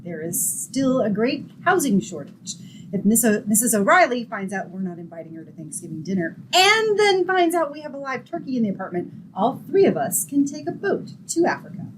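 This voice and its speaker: A woman's voice: a little high, sing-song, a little fast, slight background noise, poor audio. A woman playing a sensible, long-suffering young roommate in an old-time radio comedy, with a dramatic, wry manner. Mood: exasperated.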